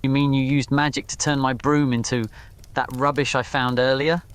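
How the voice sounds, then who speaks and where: A man's voice: medium pitch, little pitch movement, moderate pace, clear, resonant tone, close to an everyday voice. A man voicing a haughty, mocking young male wizard student in a roleplay audio story. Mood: curious, impressed. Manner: mocking, curt, murmuring.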